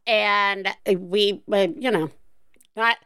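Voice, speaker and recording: A woman from the Deep South hosting a podcast, chatting casually with lots of fillers and laughs. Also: fairly even intonation, extremely slow, bright, forceful tone, clean tone, no room sound, clean studio sound. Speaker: podcast host. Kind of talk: casual interview chat.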